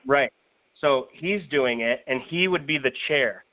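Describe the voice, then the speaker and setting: A man's voice: mid-range voice, measured pace. A man with an American accent explaining plans in a confident, informal way during a casual phone conversation. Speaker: political organizer explaining a plan. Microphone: telephone. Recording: tinny recorded phone call.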